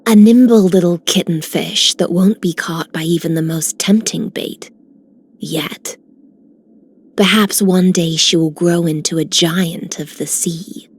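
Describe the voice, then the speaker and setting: A cool warrior woman from a video game. Her voice is a little low and breathy, and she speaks in lofty, cryptic turns. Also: measured pace, darkish tone. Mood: cool, teasing. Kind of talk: video game character voice lines.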